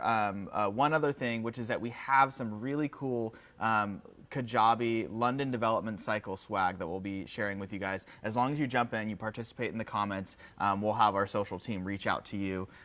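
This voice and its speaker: A man hosting a live product presentation in an informal, slightly halting, conversational way. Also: medium pitch, fairly bright tone. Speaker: livestream host presenting product updates.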